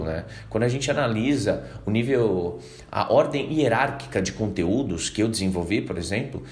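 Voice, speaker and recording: Animated Brazilian man teaching English. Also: lowish voice. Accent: Brazilian Portuguese. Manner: animated, acts out learners' reactions, reads English lines slowly. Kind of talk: casual video lesson.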